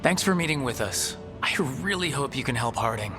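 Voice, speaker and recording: Man playing lively, polite hero adventurer. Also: mid-range voice, brisk, music in the background, lightly acted. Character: polite, confident male adventurer leading a party. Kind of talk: fantasy video game acting.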